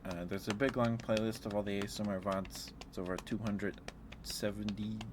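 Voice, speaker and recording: A man chatting casually on an ASMR livestream as the host, in a hesitant, halting, chuckly and apologetic way. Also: fairly low pitch, little pitch movement, noisy background. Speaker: live streamer hosting an ASMR stream.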